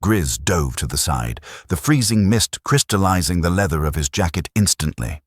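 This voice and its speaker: A man reads a science fiction audiobook in a very deep, husky voice. His delivery is dramatic and measured, with lively swings in intonation. The sound is close and dry. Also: dry recording. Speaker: narrator. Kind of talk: scripted audiobook narration.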